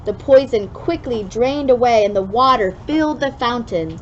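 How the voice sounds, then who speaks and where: A woman reading a children's story aloud expressively, drawing out key words with deliberate emphasis. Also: on the high side, lively intonation. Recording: dull lo-fi sound with clear background noise.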